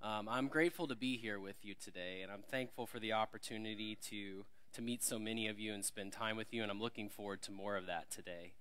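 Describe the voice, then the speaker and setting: A man's voice: on the low side, a little fast, hardly any pauses, a bit bright, smooth. A man from Alabama, preaching a sermon as a visiting preacher in a church. He speaks in a friendly, self-deprecating way and lifts his voice when he reads scripture. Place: church sanctuary.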